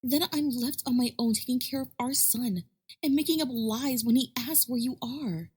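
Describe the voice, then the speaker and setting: A woman voicing a stubborn, devoted young pony wife, earnest and breathy, in a fan fiction audio drama. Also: a little high, moderate pace, darkish tone. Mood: worried insistence. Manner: earnest, insistent.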